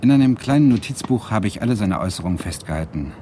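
A man's voice: on the low side, lively intonation, quick pace, warm tone, a little hiss or hum, natural voice. An actor playing a reflective Swedish lecturer who narrates his own story in a radio drama. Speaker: narrator and lead character, a lecturer.

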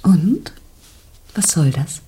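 A very breathy, exasperated lover. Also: female voice, lowish voice, expressive, extremely slow, dark tone, full character voice. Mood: weary, dismissive. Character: exasperated, weary female lover, impatient and dismissive. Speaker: actor in a radio play. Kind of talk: 1990s radio drama acting.

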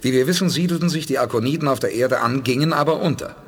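An actor playing a calm, decisive male spaceship commander who reasons things through in a measured way, in a German radio play. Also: medium pitch, lightly acted. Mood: steady. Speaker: lead character.

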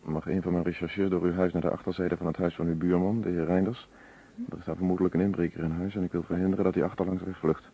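A man's voice: low voice, little pitch movement, quick pace, breathy edge, muffled recording. An actor playing a businesslike, dryly wry male police inspector in a radio play, speaking Netherlandic Dutch in a commanding way. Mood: hushed, businesslike. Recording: noisy old radio play recording.

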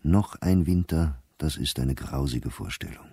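An actor playing a sober, weary male diarist in wartime, reading his entries in a measured, deliberate way for a radio play. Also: very deep voice, dark tone, slightly rough, no echo, studio quality, exaggerated voice. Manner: measured, deliberate, clipped diary phrasing.

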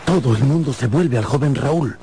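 A man's voice: mid-range voice, moderate pace, noisy background. A man giving dramatic scripted narration for a radio drama. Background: loud music.

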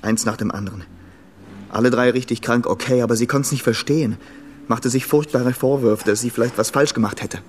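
An actor playing a bitter, defensive boyfriend in a radio crime drama, talking bluntly and colloquially. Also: male voice, medium pitch, fairly even intonation, brisk, a little hiss or hum, natural voice. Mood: troubled recounting. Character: defensive, bitter, rough-edged boyfriend of a nurse.

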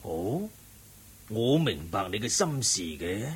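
An actor playing an eminent, wealthy old layman who lectures in a measured way, in Hong Kong Cantonese radio drama. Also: on the low side, big pitch swings, breathy edge, exaggerated voice. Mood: solemn, admonishing. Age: older. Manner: measured, preaching.

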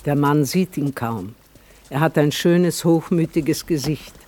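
A man narrating a radio play in a measured, literary manner. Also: a little high, a little mellow, a little hiss or hum.

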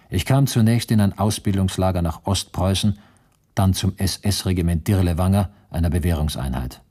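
A male actor playing a former prisoner who recalls the war in sober, measured radio narration. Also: low voice, mellow, husky. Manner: sober, measured, matter-of-fact. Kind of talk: scripted radio play narration.

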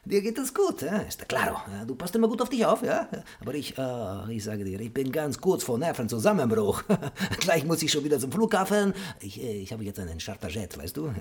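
An actor playing a vain, effusive celebrity TV chef with a Spanish accent and theatrical manner in a German radio drama. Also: male voice, medium pitch, sing-song, brisk, few pauses. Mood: effusive, self-important. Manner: gushing, theatrical, peppers talk with foreign words.

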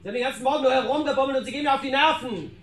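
A man's voice: very high voice, racing, heavy background noise. An actor playing a coarse, hot-tempered policeman who barks and curses aggressively in a radio drama. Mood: furious. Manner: aggressive, shouting, cursing. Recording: roomy echo, faint music behind.

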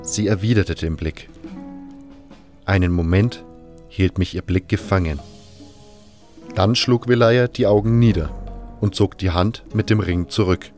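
Guy with a low voice narrating a fantasy audio drama, measured, music underneath. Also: darkish tone. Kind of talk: scripted first-person fantasy storytelling.

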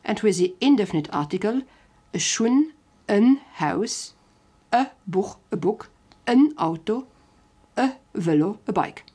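A woman presents a scripted language lesson with a husky, breathy voice at medium pitch. She is didactic and takes her time, laying out each example carefully word by word. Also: expressive, takes it slowly, mellow. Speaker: language teacher.

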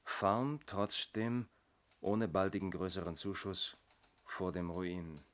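Policeman, lowish voice, brisk, businesslike, inquisitive, radio play. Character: brisk, questioning male police colleague. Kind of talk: 1970s German radio play acting.

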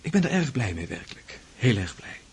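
An actor playing a proud, sceptical, disillusioned aristocratic brother in a Dutch radio drama, dry, reserved and firm in manner. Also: male voice, mid-range voice, sing-song, brisk, breathy edge, heavily acted. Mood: gently mocking.

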